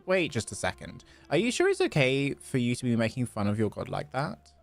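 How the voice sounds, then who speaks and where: A man's voice: medium pitch, wildly swooping pitch, a little fast, vocal fry, faint music behind. A man voicing a small, childlike fairy companion in a video game, puzzled and boastful, talking in a questioning way.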